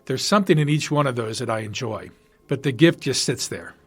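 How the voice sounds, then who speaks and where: A man's voice: mid-range voice, lively intonation, brisk, slightly rough. A man giving prepared spoken commentary on television episodes, as a listener sending in his opinions.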